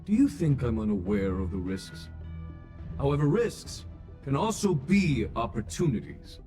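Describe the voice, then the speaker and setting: An actor playing a calm, shrewd general in a video game, defending his decisions in an unruffled, deliberate, formal way. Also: medium pitch, faint music behind. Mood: calm, assured. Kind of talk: scripted game dialogue.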